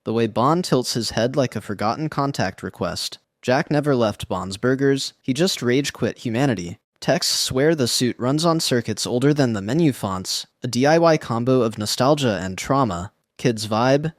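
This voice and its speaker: A man's voice: mid-range voice, no echo. Dry, wry man narrating horror rankings. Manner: slangy, wry deadpan delivery. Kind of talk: scripted internet narration.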